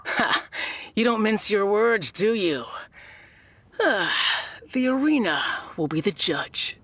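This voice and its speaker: Smug, boastful contestant. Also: female voice, medium pitch, very animated, slow, fairly bright tone, husky, no room sound, heavily acted. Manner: boastful, self-satisfied. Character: smug self-assured tournament contestant. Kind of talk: video game voice acting.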